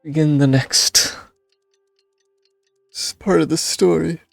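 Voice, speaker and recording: A man with a gravelly, husky voice giving casual commentary as he plays a game, music behind him. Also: on the high side, steady tone, slow pace, dry recording, clean studio sound. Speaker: streamer playing a game. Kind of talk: casual commentary, reading game text aloud.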